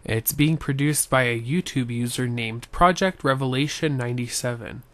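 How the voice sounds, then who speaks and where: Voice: medium pitch, moderate pace, no room sound. An amateur voice actor giving casual, unassuming introductions to an audition.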